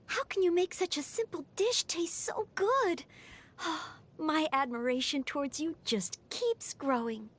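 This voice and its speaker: A woman's voice: high-pitched, measured pace, a bit bright. A woman voicing a polite, earnest young maid and aspiring knight in a video game, neatly enunciated and eager to serve. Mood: admiring, flustered. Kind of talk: scripted video game character voice lines.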